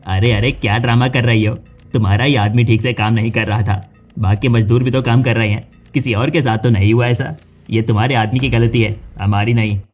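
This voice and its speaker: A man's voice: mid-range voice, steady tone, a little fast, faint music behind, lightly acted. A man voicing a callous male factory owner in a cartoon story, dismissive and scolding. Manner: dismissive, scolding, blame-shifting.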